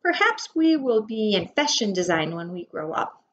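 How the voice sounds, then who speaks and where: A woman's voice: medium pitch, big pitch swings, a bit bright. Middle aged lady teacher doing a storybook read-aloud for little kids, super swoopy intonation, kinda dull webcam sound. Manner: dramatic emphasis, pausing to explain words simply. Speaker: preschool teacher reading aloud. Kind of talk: expressive picture-book read-aloud for young children. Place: indoor room at home. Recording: dull webcam audio with faint noise.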